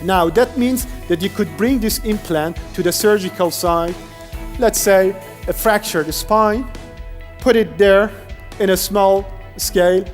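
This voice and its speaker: Male engineer, Persian native speaker, steady explanatory lecture, long hall reverb with faint music. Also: high voice, measured pace. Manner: explanatory, steady, some restarts. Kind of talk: academic lecture.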